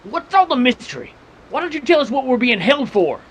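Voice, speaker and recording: Actor as a frightened, high-pitched young man. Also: very animated, brisk, a little hiss or hum. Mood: alarmed, bewildered. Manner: agitated, protesting. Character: frightened, bewildered young man held by a posse. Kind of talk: old-time radio western acting.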